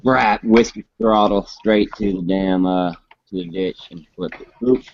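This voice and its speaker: A man's voice: low-pitched, unhurried, vocal fry, slight background noise. A man in his late twenties chatting casually about motorcycles in a rambling, laid-back way with frequent restarts. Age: 20s. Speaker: host. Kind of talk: casual chat. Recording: muffled lo-fi live stream audio.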